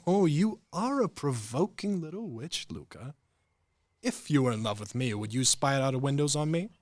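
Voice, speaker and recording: A man acts out a conceited war hero torn between his noble pose and his baser self. The delivery is pompous and theatrical, with very animated pitch. It is a radio play voice, recorded dry. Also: moderate pace, no room sound, natural voice. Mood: teasing. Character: vain, tormented gentleman war hero, engaged yet flirting.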